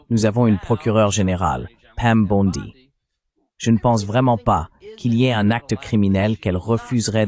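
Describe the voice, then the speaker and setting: Low-pitched man, synthetic interview voice-over. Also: a little mellow. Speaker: interviewee, psychologist, read by an AI dubbing voice.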